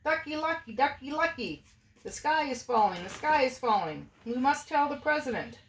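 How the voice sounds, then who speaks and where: Woman putting on a frantic high-pitched little storybook hen. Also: expressive, normal speed, reverberant, far from the mic, a little hiss or hum, rough recording. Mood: panicked. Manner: repetitive, urgent clucking cries. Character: frantic, dim-witted little hen spreading panic.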